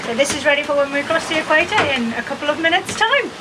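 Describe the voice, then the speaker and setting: Casting note: playful woman in her fifties, high voice, sailing vlog, loud wind and waves behind. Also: lively intonation, quick pace. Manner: chatty, playful, self-mocking. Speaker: sailing vlogger. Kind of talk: casual chat on camera. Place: outdoors on a sailboat at sea. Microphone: handheld action camera. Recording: loud wind and wave noise.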